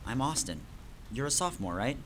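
A man's voice: mid-range voice, very animated, brisk, a bit bright, slight background noise. A young man acting an earnest, level-headed teenage schoolboy survivor in a radio drama. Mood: reassuring.

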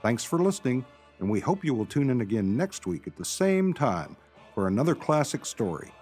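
Lively male radio announcer over faint music. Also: mid-range voice, normal speed, husky. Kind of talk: scripted radio narration and credits.

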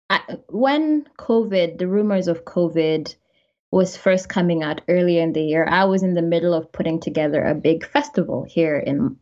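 A Kenyan woman whose accent carries some American touches speaks in a relaxed storytelling way, with small laughs. Her voice is fairly low for a woman, and the remote audio sounds dull and lo-fi. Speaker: journalist and podcast guest. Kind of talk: casual interview chat. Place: home in Kenya.

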